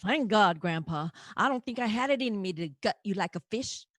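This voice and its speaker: A woman's voice: medium pitch, lively intonation, a little fast, fairly bright tone, no room sound. Woman playing a wry, slangy young housemate, dry recording. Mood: exasperated relief. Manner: casual, wry. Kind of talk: comedic audio play.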